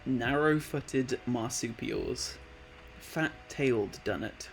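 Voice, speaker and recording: A man's voice: medium pitch, lively intonation, slow, a little hiss or hum, poor audio. A man in his late twenties chatting casually on a live stream, rambling with lots of fillers and easily delighted. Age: late 20s. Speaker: art streamer.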